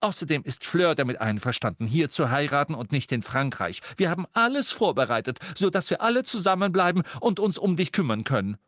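A man's voice: on the high side, big pitch swings, brisk, hardly any pauses, fairly bright tone, no room sound, clean studio sound, pitched above the performer's own voice. A man voicing an anxious, motherly middle-aged woman who pleads and fusses, in an audiobook. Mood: fussing. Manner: pleading, fussing.

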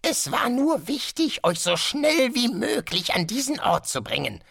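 A man voicing a calm, polite guest master who calmly announces dreadful things, in an audiobook. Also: very high voice, creaky, husky, dry recording, studio quality, voice pushed up, exaggerated voice. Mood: smoothly menacing. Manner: courteous, unhurried, casually sinister. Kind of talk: audiobook character voice.

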